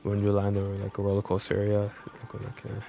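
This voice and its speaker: A man's voice: lowish voice, completely flat delivery, quick pace, vocal fry, warm tone. A man streaming a video game with casual, rambling, informal commentary. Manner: informal, rambling, mild swearing. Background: game music.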